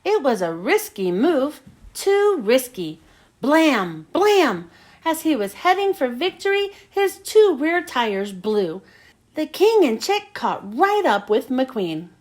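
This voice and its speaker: A woman reading a children's storybook aloud as an expressive narrator. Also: high-pitched, takes it slowly. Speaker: storybook reader.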